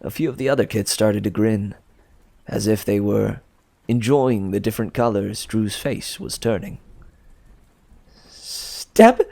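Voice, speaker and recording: A man reading a storybook aloud as expressive narration, with a gravelly voice. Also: on the low side, moderate pace, dry recording. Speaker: storybook reader.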